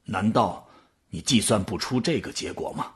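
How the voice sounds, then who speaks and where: A man's voice: on the low side, normal speed, lightly acted. A man playing a skeptical, troubled human who questions a machine in a dramatic way in a science-fiction radio drama.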